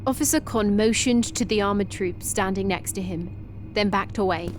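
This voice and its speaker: A woman's voice: mid-range voice, normal speed, soft background music. Woman narrating a sci-fi story. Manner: steady, descriptive reading.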